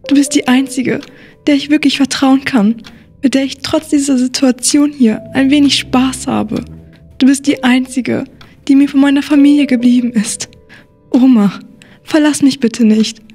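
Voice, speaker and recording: A woman's voice: high-pitched, fairly even intonation, measured pace, warm tone, faint music behind. A woman playing a lonely, withdrawn, grieving young woman in an audio drama. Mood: pleading, tearful.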